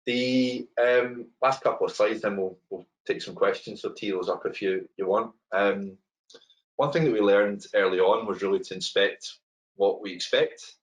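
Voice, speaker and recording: A middle-aged Glaswegian man talks through a webinar presentation over a webcam. His voice sits very low and he speaks in a loose, chatty way, full of ums and restarts. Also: normal speed, low-quality recording. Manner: relaxed and conversational, frequent ums and repeated words. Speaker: head of open banking technology, webinar speaker. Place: home room, indoors. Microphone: webcam on a video call.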